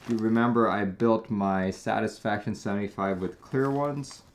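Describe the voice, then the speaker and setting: A man from Taiwan hosting a casual live stream, talking in a chatty, relaxed way with frequent small restarts. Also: on the low side, moderate pace, a little hiss or hum. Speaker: host.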